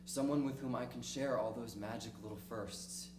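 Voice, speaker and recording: A man acting a lonely, cynical middle-aged diarist in a dramatic stage reading, speaking reflectively and ruefully with halting pauses. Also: mid-range voice, steady tone, natural voice. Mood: wistful, longing. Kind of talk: dramatic monologue in a one-act play reading. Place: small theater stage, recorded from the audience distance. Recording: distant, echoey stage recording with noisy hum.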